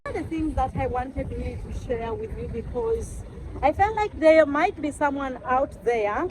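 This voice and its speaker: A woman's voice: high voice, noisy background. A young woman, chatting casually to camera for her travel vlog, calling her viewers guys and laughing often. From Uganda. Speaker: travel vlogger.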